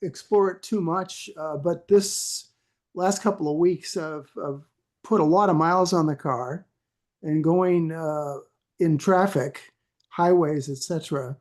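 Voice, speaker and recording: A man, a club member, chatting casually on a conference call, halting and searching for words. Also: fairly high pitch, darkish tone. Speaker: club member, classic car owner. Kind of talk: casual chat.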